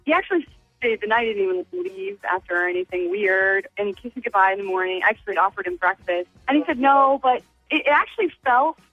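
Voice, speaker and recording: A woman's voice: medium pitch, big pitch swings, quick pace. A woman calling into a radio show, chatting confessionally in a flustered, defensive, hesitant way. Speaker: phone-in guest. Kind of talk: confessional casual chat. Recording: narrow phone line, music behind.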